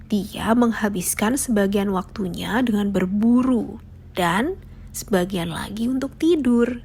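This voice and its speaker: A woman's voice: medium pitch, breathy edge. A woman narrating a scripted children's fable as a storyteller.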